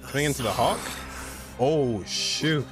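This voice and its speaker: A man's voice: mid-range voice, a little slow, music in the background. A young man streaming casual game reactions in short, muttered exclamations. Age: young adult. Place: indoor room.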